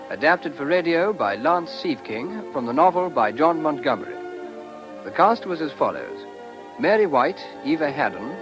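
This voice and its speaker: A man with a husky voice, reading credits formally as a radio announcer. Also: on the high side, rough recording.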